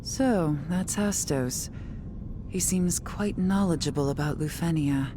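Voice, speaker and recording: An actress playing a composed, considerate warrior in a video game, with measured and polite speech. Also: female voice, lowish voice, noisy background. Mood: thoughtful, cautious.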